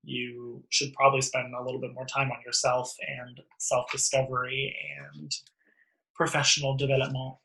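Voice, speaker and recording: A young woman hosting a casual tarot reading, chatting in an animated, slangy, teasing and emphatic way. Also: a bass voice, moderate pace, reverberant, low-quality recording. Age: young adult. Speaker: host and tarot reader.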